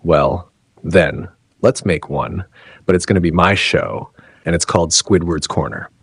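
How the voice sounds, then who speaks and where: A man's voice: deep voice, measured pace, slightly rough, no room sound, exaggerated voice. A man voicing a haughty, world-weary cartoon squid with a dry, superior manner in an audio drama. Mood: resolute.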